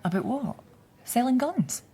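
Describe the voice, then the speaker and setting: An actress playing a steady, coaxing Scottish police detective who questions people in a radio crime drama. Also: female voice, fairly high pitch, a little slow, slightly rough, heavily acted. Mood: probing. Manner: coaxing, probing.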